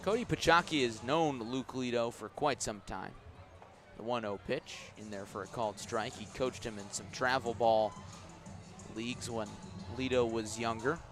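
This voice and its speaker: A man's voice: mid-range voice, fairly even intonation, moderate pace, faint music behind. Man calling baseball play-by-play on radio. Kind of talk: live sports commentary.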